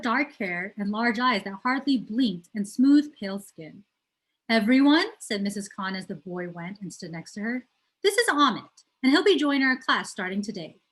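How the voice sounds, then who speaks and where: A woman's voice: fairly high pitch, poor audio. A woman reads a children's novel aloud as a storyteller, putting emphasis on key words. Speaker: teacher reading aloud.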